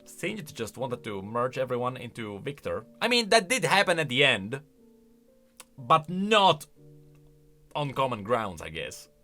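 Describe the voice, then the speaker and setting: A man's voice: medium pitch, big pitch swings, a little slow, pushed and bright, faint music behind. A man giving chatty, informal, opinionated reaction commentary on video game lore.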